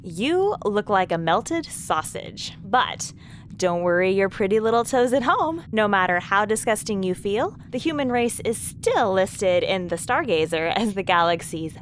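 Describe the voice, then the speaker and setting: A woman's voice: medium pitch, animated, a little slow, slight background noise, natural voice. A woman playing a daffy, cheerfully creepy alien co-host in an audio drama, teasing, oddly formal and naively curious. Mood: gleeful.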